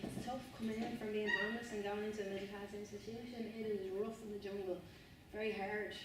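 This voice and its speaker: A woman's voice: medium pitch, level tone, fast talker, very noisy. A young Irish woman giving an informal talk to students, earnest and rambling, repeating and restarting phrases. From Ireland. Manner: earnest, rambling, repeats and restarts phrases, says "you know what I mean". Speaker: spoken word artist giving a talk. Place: university room, indoors. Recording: distant, very echoey room with faint ambience.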